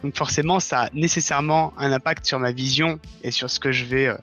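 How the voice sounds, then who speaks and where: A man's voice: mid-range voice, fairly even intonation, brisk, fairly bright tone, faint music behind. A man speaking as a guest in a conversational interview, explaining his views earnestly. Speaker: interview guest, audio fiction writer.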